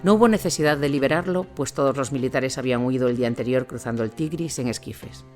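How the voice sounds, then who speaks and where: A man's voice: medium pitch, fast talker. Spanish man narrating over music. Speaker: narrator of an audio drama. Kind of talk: scripted documentary war narration. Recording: music bed under the voice.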